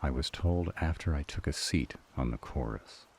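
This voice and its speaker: A man playing a weary, firm demon council member in an audio drama, speaking in a measured, argumentative way. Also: rumbling low, gravelly, darkish tone, a little hiss or hum. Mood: defensive, grave. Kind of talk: dramatic audio roleplay acting.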